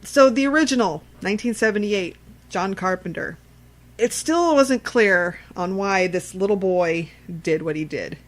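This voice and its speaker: A woman's voice: medium pitch, animated, a little slow. A woman co-hosting a podcast in casual conversation, blunt and salty-tongued, retelling things with animation and repeated phrases. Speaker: podcast co-host. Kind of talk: casual film discussion.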